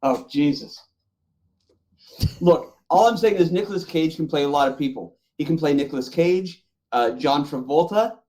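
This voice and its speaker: A man's voice: a little high, moderate pace, a little mellow. A man bantering casually on a podcast panel, opinionated and loose with swearing.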